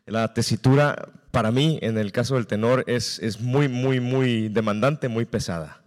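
A man who sings tenor, talking frankly on a post-show panel with lively asides. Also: mid-range voice, a little slow. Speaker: opera tenor. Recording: reverberant hall.

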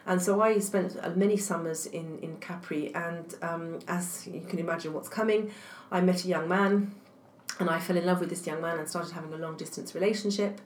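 A woman's voice: fairly low pitch, fairly even intonation, moderate pace. A woman, a university academic whose first language is French, answering interview questions thoughtfully with many fillers and restarts. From England. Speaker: guest academic. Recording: echoey room, faint noise.

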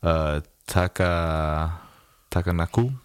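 A man's voice: low voice, little pitch movement, slow pace, smooth, no echo, studio quality. A man co-hosting a casual podcast, telling stories in a laid-back, slangy way with easy laughs. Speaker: podcast co-host. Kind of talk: casual chat.

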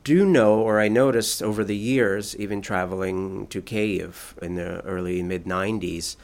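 A man's voice: fairly low pitch, steady tone, unhurried. A man, a translator, answering interview questions thoughtfully, often restarting his sentences. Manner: thoughtful, with frequent restarts and fillers.

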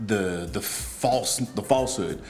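A man's voice: fairly low pitch, slow pace, soft background music. A man hosting a podcast, chatting casually and agreeably with lots of fillers. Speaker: podcast host. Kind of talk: casual conversation.

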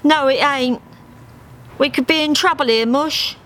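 A woman's voice: high-pitched, unhurried, fairly bright tone, pitched above the performer's own voice. A woman voicing a bossy, scheming female duck dance teacher with a brash, colloquial manner, in a storybook reading. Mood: exasperated. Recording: live theatre recording with background noise.

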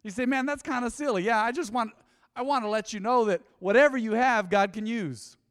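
A man preaching a sermon as pastor, animated and conversational, with frequent asides to the congregation. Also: extremely high pitch, fairly quick, clear, resonant tone, big-room sound. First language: English. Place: church.

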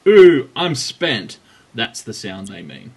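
A man's voice: medium pitch, big pitch swings, slow, creaky, a little mellow, slightly rough. A man co-hosting a podcast, chatting casually and teasing his co-host with dry humour. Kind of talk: casual chat about women's cycling.

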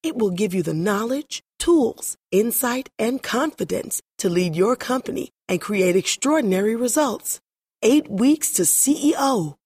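Husky, higher-pitched woman ad announcer. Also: expressive, measured pace, a little mellow. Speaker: advertisement announcer. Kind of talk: scripted promotional narration.

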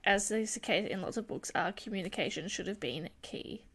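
A young woman co-hosting a casual book club podcast, relaxed and chatty, laughing often. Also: mid-range voice, a little fast, husky. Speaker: podcast co-host.

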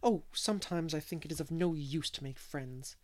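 A reader voicing a wistful, gentle unmarried lady in an audiobook, speaking with soft sighing longing. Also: mid-range voice, normal speed, lightly acted. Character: wistful, gentle older-ish spinster lady. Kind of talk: audiobook character reading.

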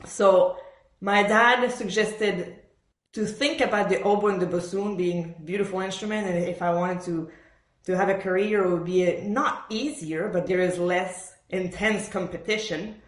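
A woman's voice: medium pitch, steady tone, moderate pace. Woman telling stories in an echoey room. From born in Argentina, raised in Montreal, Canada. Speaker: podcast guest, professional orchestral bassoonist. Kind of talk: conversational interview storytelling.

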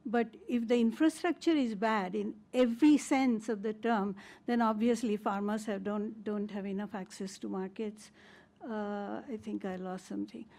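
A woman's voice: mid-range voice, steady tone, normal speed, gravelly, mellow. An older woman from India with an Indian accent, speaking as an invited expert in an informal, emphatic conference presentation. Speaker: invited discussant and agricultural economist.

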